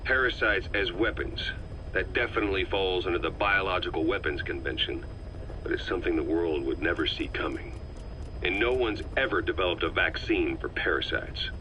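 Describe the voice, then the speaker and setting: An actor playing a shrewd, level-headed veteran intelligence officer in a video game, talking in a measured, analytical way. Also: male voice, low voice, pushed and bright. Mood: grave, analytical. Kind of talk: dramatic video game voice acting. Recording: muffled, slightly noisy cassette tape.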